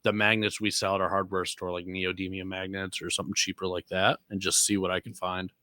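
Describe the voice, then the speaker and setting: Bright-voiced man with a low pitch. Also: level tone, brisk, no room sound, studio quality. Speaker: podcast panelist. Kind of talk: casual chat about off-road vehicles.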